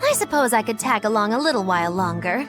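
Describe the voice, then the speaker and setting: A woman's voice: on the high side, expressive, quick pace, over music, close to an everyday voice. A woman voicing a mischievous, flippant young witch in a video game, teasing and theatrical. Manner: theatrical, teasing, sing-song. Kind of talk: video game cutscene voice acting.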